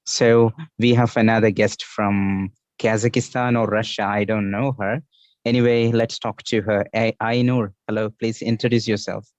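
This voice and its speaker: A man's voice: on the low side, level tone, moderate pace, a little mellow, dry recording, highs cut off. A man with an Indian accent hosting an online English class over a video call, patiently explaining idioms and repeating the key phrases.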